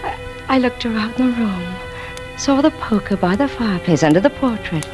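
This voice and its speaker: An actress playing an embittered, intense wife who feigns madness, confessing haltingly in 1940s radio suspense style. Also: female voice, fairly high pitch, animated, lightly acted. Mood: feverish, exultant. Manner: dramatic, halting confessional delivery. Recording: vintage radio recording with music.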